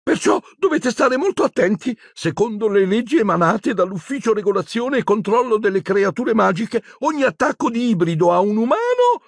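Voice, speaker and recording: A man reading aloud and voicing a shrill, frightened, officious middle-aged witch who talks in a prim, imperious way. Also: very animated, moderate pace, hardly any pauses, no echo, clean studio sound, exaggerated voice. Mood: haughty. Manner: prim, imperious, rising to screeches.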